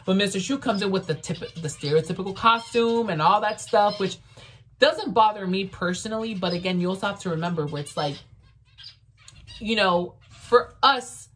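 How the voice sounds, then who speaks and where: A woman's voice: mid-range voice, normal speed, a bit bright, slight background noise. A woman whose first language is Spanish, chatting casually and outspokenly in a TV review vlog from her bedroom, filmed by a camera on the desk. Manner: sassy, outspoken, lots of fillers. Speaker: vlogger reviewing a TV series. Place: bedroom, indoors.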